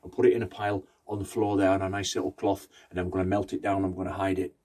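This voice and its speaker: A man storyteller voicing a brisk, commanding old Romani grandma in a folktale, giving blunt, scolding orders. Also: low-pitched, level tone, warm tone, pitched below the performer's own voice.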